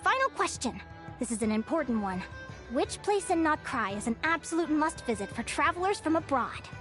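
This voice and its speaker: A bubbly young guild advisor from a video game, girlish and extremely high. She is chirpy, curious and gushing, with lively swings in pitch over clearly audible music. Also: normal speed. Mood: cheerful, lecturing. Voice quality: girlish, light.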